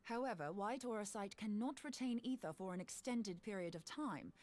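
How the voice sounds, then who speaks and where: A woman's voice: medium pitch, normal speed, a little mellow, lightly acted. A woman playing a bold, self-assured scholar in a fantasy video game, explaining things breezily. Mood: bold, confident.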